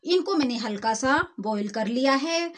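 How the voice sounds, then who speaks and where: Home-cook host, female, higher-pitched, steady step-by-step delivery, kitchen sizzle audible. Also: normal speed. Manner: plain, steady explaining of each step. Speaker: cooking channel host. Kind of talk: step-by-step cooking narration. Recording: clear kitchen cooking noise. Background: sizzling and stirring in a pan.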